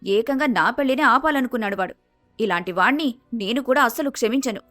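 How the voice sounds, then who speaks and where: A woman voicing a proud, resentful young daughter in an animated moral story, talking in an accusing way. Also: on the high side, fairly quick, soft background music. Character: resentful, proud young daughter blaming her sister-in-law.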